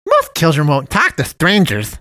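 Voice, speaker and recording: A man's voice: on the high side, wildly swooping pitch, moderate pace, bright, forceful tone, no echo, studio quality, pitched above the performer's own voice, exaggerated voice. A man voicing a jolly, chubby male cat man who lives in a dump, talking in a purring, folksy drawl. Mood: friendly, jolly. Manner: sweetly cajoling, theatrical.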